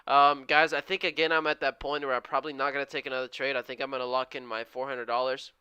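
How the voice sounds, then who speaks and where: A young man in his twenties hosts a live trading stream, with a bright, forceful tone and a crisp, smooth voice. He is upbeat and friendly, drops casual slang, and keeps thanking viewers. The sound is dry and close, with no room around it. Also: medium pitch, level tone, fast, no echo, dull audio. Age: 20s. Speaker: live-stream day trader.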